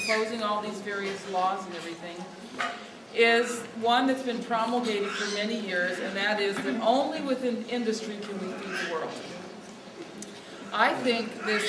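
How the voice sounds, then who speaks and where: A woman with a quiet voice giving a speech without a microphone, speaking earnestly to lift her voice over the room. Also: medium pitch, very noisy. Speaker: speaker at a lobby day. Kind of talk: outdoor speech without a mic. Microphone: no mic, picked up from a distance. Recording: distant echoey recording with loud ambience.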